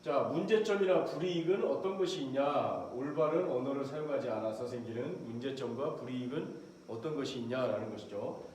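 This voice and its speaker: A man's voice: mid-range voice, a little fast, a little mellow. Lecturing man in a very echoey room. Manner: explanatory, acts out short soldier quotes with a raised voice. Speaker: professor lecturing on military leadership. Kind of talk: recorded online lecture. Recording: very echoey room, faint background noise.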